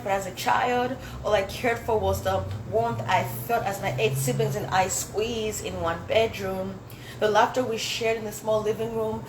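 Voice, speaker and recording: A young woman recites spoken word poetry in a measured, reflective way. Her shapes her vowels. She is caught in a roomy hall, with steady background noise around her. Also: mid-range voice. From Zambia. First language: Swahili. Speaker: poet. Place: indoor dinner event hall.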